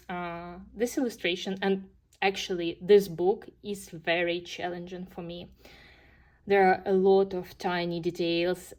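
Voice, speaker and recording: A woman with a Ukrainian accent, hosting a casual coloring vlog, talking hesitantly with many fillers and gentle enthusiasm. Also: fairly low pitch. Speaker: coloring channel host. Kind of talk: casual show-and-tell vlog.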